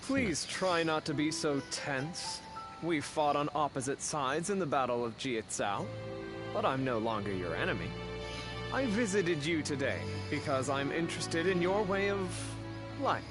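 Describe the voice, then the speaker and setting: Refined, lilting game warrior. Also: male voice, fairly high pitch, a little slow. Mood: courteous, intrigued. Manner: formal, refined, expressive. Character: courteous, earnest male warrior, former enemy. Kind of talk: video game cutscene acting. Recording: loud music behind the voice. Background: game music.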